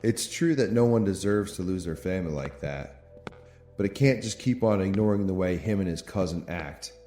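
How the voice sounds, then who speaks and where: A man's voice: low-pitched, lively intonation, moderate pace, mellow. A man acting in an audio drama as an anxious townsman who presses with skeptical questions and is easily rattled. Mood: skeptical, probing. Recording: echoey tunnel-like reverb with music.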